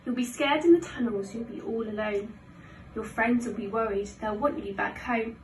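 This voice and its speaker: A woman voicing a worried young boy in a children's storybook, calling out loud, insistent, sing-song warnings. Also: a little high, normal speed, close to an everyday voice. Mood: urgent, warning. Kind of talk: children's storybook read-aloud. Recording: roomy, distant mic, faint noise.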